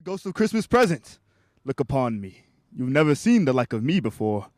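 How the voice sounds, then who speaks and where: A man's voice: medium pitch, sing-song, normal speed, darkish tone, dry recording, studio quality, natural voice. A man acting a jolly giant Christmas spirit in a radio play, hearty and declamatory. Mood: jovial, commanding. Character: jolly giant male Christmas ghost, booming and merry.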